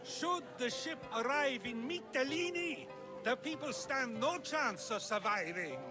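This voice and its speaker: An actor playing an earnest philosopher of ancient Greece in a video game, arguing deliberately like an orator. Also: male voice, very high-pitched, animated, music in the background. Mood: impassioned pleading. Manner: questioning, oratorical, deliberate argument. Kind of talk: video game cutscene acting.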